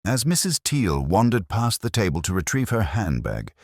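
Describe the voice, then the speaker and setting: A man reading a scripted mystery story as narrator, in a wry, measured, literary manner. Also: on the low side, wildly swooping pitch, no echo, studio quality.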